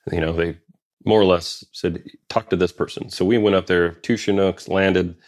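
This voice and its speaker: A man's voice: sits low, fairly even intonation, normal speed, a little mellow. A man telling stories from his Special Forces service in a casual interview, rambling with many fillers and restarts. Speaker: guest, Special Forces veteran.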